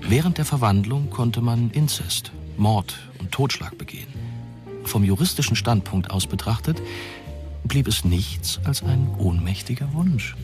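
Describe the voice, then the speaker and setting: An actor playing a wry, detached narrator in a radio drama, speaking in a measured, literary, dry manner. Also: male voice, mid-range voice, expressive, over music, lightly acted. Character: detached, wry male narrator of a dystopian story.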